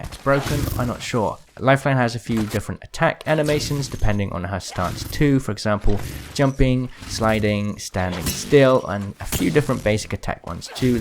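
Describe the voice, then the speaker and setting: A man's voice: mid-range voice, background noise. A man giving casual, explanatory commentary as the creator of a gaming video.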